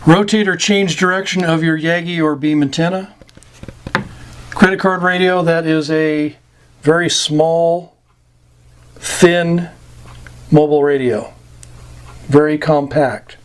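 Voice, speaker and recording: An American man giving an informal instructional talk, plain-spoken and pausing between short phrases. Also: a little high, fairly even intonation, smooth. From United States. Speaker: amateur radio instructor. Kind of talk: informal slide lesson explaining radio slang.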